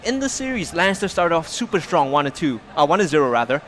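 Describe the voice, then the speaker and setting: A male esports caster with a high-pitched voice, calling the action with rising excitement and punchy, loud hype moments. A faint hiss sits under the voice. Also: fast. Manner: excitable, builds to loud calls. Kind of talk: play-by-play commentary.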